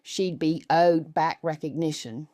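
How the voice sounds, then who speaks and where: Woman doing an old retired army colonel in a story, slow, deliberate, solemn. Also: deep voice, warm tone, no room sound, studio quality. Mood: measured, assured.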